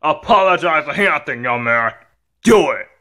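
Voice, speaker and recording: A man's voice: fairly high pitch, animated, moderate pace, bright, forceful tone, voice pushed down. A man voicing a stern, weary dad in an amateur skit, admonishing his rowdy child in a repetitive, subdued way. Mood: stern scolding.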